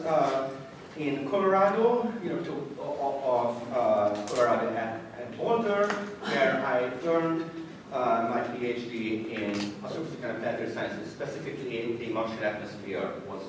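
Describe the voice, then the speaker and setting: A man in his thirties from Hungary with a Hungarian accent, giving an informal talk about himself to an audience in a halting, self-deprecating way. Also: medium pitch, lively intonation. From Budapest, Hungary. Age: 30s. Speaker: college science teacher giving a talk. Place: echoey indoor room before an audience. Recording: echoey room, distant mic, noisy background.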